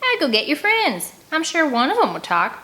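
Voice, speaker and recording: A woman's voice: sits high, huge pitch swings, moderate pace, sharp tone, voice pushed up, exaggerated voice. A woman voicing a menacing, bullying backwoods outlaw mother in a read-aloud storybook, with a snarling manner. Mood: menacing, demanding.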